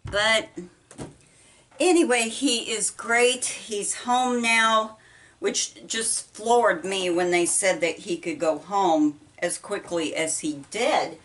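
A middle-aged woman with a Midwestern American accent, chatting casually while she folds laundry, talking haltingly with many ums and restarts. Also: medium pitch, a bit bright. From United States. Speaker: vlogger. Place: small indoor laundry room. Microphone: camera set apart from her. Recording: voice a bit far from mic.

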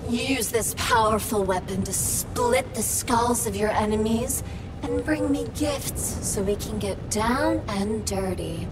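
A woman voicing a lustful, flirtatious female AI vending machine in a video game, seductive and breathy. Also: on the high side, slow pace, rough recording. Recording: loud music behind.

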